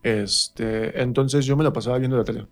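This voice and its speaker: Mexican man chatting, mid-pitched voice. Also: steady tone, normal speed. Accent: central Mexican. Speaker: interview guest, stage actor. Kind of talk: casual personal storytelling in a radio interview.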